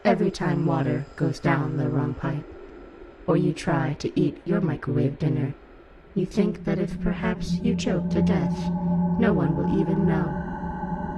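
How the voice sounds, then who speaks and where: A performer in an audio play voicing an eerie fungal hive-mind, speaking in a slow, deliberate, menacing and coaxing way. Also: mid-range voice, very noisy, lightly acted. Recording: loud music under the voice. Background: loud music.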